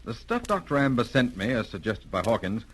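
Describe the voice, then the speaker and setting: An actor playing an excitable British gentleman doctor in an old radio drama, emphatic and old-fashioned. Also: mid-range voice, a little fast, husky. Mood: earnest. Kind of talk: 1930s-40s radio drama acting. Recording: noisy, clicking vintage radio recording.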